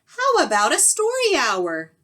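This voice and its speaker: A woman voicing a sweet, gentle schoolteacher from a children's storybook in a sugary, singsong way. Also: high voice, wildly swooping pitch, a little slow, pitched above the performer's own voice, heavily acted.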